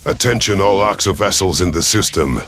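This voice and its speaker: A man's voice: low voice, normal speed, a bit bright. A man voicing a male alien predator fleet commander, formal and calculating, in a sci-fi audio story. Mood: commanding. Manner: formal, imperious. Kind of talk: first-person sci-fi audio story narration.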